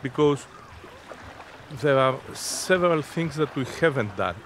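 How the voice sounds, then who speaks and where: A man in his fifties answering interview questions in a halting, deliberate, unscripted way. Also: medium pitch, lively intonation. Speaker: interviewee, innovation zone representative. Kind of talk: spoken interview, unscripted explanation. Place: outdoors on a sea beach. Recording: outdoor seaside background noise.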